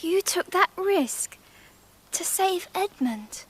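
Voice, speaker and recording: A woman playing a gentle, careful schoolgirl, earnest and a little hesitant, in a radio drama. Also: very high-pitched, expressive, slow pace, exaggerated voice. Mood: awed, hushed.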